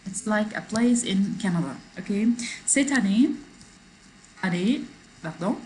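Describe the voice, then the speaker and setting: A woman teaches French over a computer mic, going slowly and repeating phrases for her students. Her pitch sits in the middle, and she moves between French and English. A faint hum of noise runs behind her. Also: lively intonation, a little mellow, rough recording. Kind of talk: online class, reading a text and explaining it. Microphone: computer mic in a video class.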